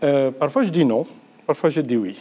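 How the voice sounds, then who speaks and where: A middle-aged man from Lebanon, an Arabic speaker, giving an earnest, measured conference talk at a university. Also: mid-range voice, lively intonation, warm tone, breathy edge.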